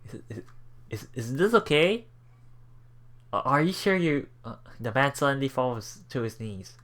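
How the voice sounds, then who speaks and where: Halting low-voiced man reading a visual novel. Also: lively intonation, fairly bright tone. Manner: halting, stumbles and repeats words while reading. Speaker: let's play host. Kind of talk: reading visual novel text aloud with casual asides.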